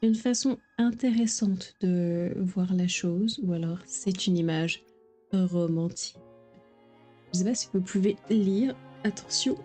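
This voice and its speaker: A woman's voice: on the low side, a little slow, mellow, soft background music. A woman streamer giving casual, playful, informal live commentary on a game.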